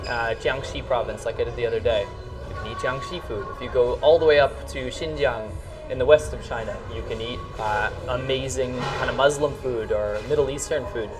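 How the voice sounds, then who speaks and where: American guy doing a casual vlog, talks really fast and excited, bit of music under it. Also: medium pitch, distant mic. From rural New York, United States. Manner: enthusiastic, emphatic. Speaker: vlog host. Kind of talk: casual vlog talk to camera.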